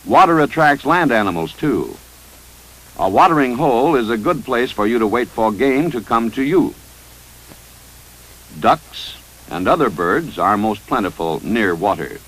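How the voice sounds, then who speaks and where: American man, lively 1950s training film narrator. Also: on the low side, poor audio. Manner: measured, instructive, authoritative. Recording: 1955 training film soundtrack.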